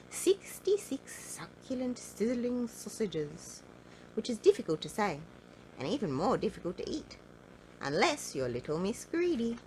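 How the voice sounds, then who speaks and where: Expressive woman reading a children's story, very animated pitch. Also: a little hiss or hum, rough recording. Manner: expressive, playful, drawn-out emphasis on key words. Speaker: storybook reader.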